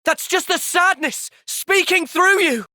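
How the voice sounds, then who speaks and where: A man playing an earnest, thoughtful young soldier and party leader in a video game, sincere and resolute in a measured way. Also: very high voice, bright, forceful tone, dry recording, studio quality, exaggerated voice. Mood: urgent, shouting. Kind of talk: video game cutscene acting.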